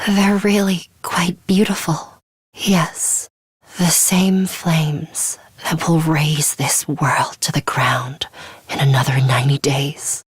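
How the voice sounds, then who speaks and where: Game role: stern, aloof young female fighter, cool and commanding, very measured pace, close dry sound. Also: low-pitched, fairly even intonation, breathy edge, no room sound, exaggerated voice. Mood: hushed, wistful. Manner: curt, cool, deliberate, commanding. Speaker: voice actress. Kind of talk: scripted video game character lines.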